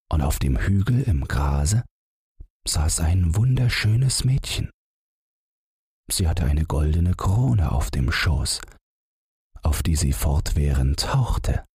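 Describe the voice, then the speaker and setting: A man narrating a bedtime fairy tale audiobook in a gentle, expressive storytelling manner. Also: extremely low pitch, husky, no room sound, studio quality.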